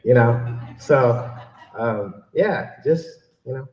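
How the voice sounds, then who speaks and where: A man's voice: medium pitch, slow pace, highs cut off. A man giving an informal, joking and self-deprecating audience Q&A talk as a keynote speaker and author. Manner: joking, self-deprecating, animated when quoting himself. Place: public library event room. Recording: echoey room, mic at a distance.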